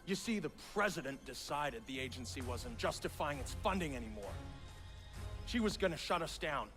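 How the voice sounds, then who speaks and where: An actor playing a smug, ruthless agency villain in a video game, with a taunting, condescending manner. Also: male voice, fairly high pitch, expressive, normal speed, close to an everyday voice. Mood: gloating. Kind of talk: cinematic video game acting. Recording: faint music under the voice.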